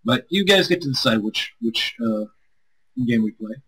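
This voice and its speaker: A man's voice: medium pitch, very animated, normal speed, creaky, fairly bright tone, husky, poor audio. A man streaming as a co-host, chatting casually with viewers in a rambling, self-deprecating way.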